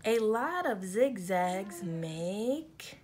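A woman reading a picture book aloud for children, addressing them as friends and pausing before key words. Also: mid-range voice, very animated, a little mellow. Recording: clear background noise, home recording. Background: background noise.

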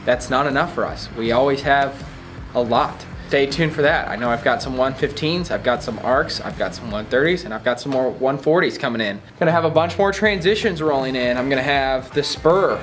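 A man's voice: mid-range voice, fairly quick, a bit bright. A man in his twenties giving a casual, slangy, enthusiastic product tour to camera inside a shop. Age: 20s. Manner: laid-back, slangy, enthusiastic. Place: indoors in a bike shop. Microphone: camera a few feet away. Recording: roomy echo, distant mic, music behind.

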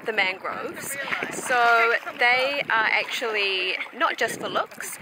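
A woman's voice: fairly high pitch, takes it slowly, noisy background. A woman in her thirties chatting casually as a travel vlogger, speaking to a camera held at arm's length. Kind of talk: casual vlog chat. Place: outdoors, by water and on trail. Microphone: handheld camera at arm's length.

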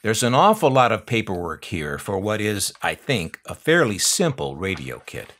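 An older man with an American accent narrates in a fairly low voice that rises and falls dramatically. His delivery is wry and nostalgic, like a prepared script for an unboxing video, with dry asides. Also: very animated, normal speed, fairly bright tone. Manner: wry, dryly humorous. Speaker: host of a collector's unboxing channel.

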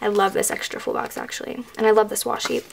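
A woman's voice: mid-range voice, level tone, vocal fry, a little hiss or hum. A woman in her twenties, recovering from illness and losing her voice, gushes through a casual haul of planner stickers at a tabletop. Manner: gushing, fast filler-heavy enthusiasm. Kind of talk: casual haul show-and-tell. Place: indoors at a table, hands-only overhead camera.

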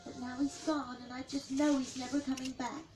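A woman voicing a gentle, motherly young female mouse in a storybook, soft and easily worried. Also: high voice, level tone, a little fast, recorded from a distance, close to an everyday voice. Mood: sad. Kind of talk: storybook character acting. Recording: music behind the voice.

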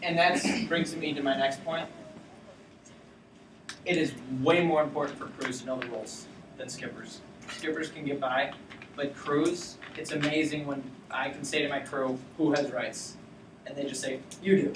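A man's voice: mid-range voice, fairly even intonation, moderate pace. Young man lecturing from across a classroom. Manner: casual, explanatory, with frequent fillers. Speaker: sailing team presenter. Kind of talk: informal lecture to a student sailing team. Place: lecture classroom with a projector screen. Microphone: far camera at the back of the room. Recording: roomy classroom from far off, with background noise.

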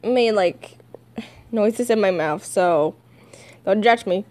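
A woman's voice: medium pitch, takes it slowly, a little mellow. A woman dubbing comics as an amateur, chatting casually, swearing and giggling at herself. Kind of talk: casual fan comic dub with chatty asides.

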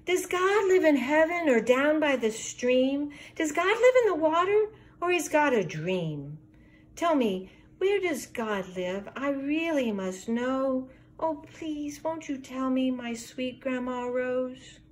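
Woman as a high-voiced, curious little girl. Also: expressive, slow. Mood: pleading. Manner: pleading, questioning. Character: curious, eager little girl full of questions.

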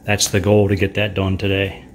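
A man's voice: low voice, completely flat delivery, moderate pace, slight background noise. Plainspoken middle-aged man narrating handiwork. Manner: plainspoken, frank, talks while doing hands-on work. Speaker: sailing channel host. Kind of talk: casual how-to narration while working. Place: indoors in a home, kitchen and living room.

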